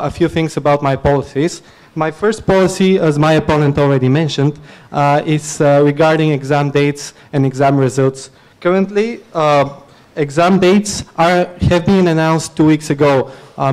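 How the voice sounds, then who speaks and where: A young man from Bulgaria, native in Bulgarian, speaks as a student candidate into a podium mic. He talks earnestly in a flat, even tone with a clear, smooth voice and pitch a little above the usual, and he often fills pauses and starts over. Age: young adult. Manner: earnest, many filler "uh"s and restarts. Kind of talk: campaign speech at a podium. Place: dim student union venue, indoors. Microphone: podium mic on stand.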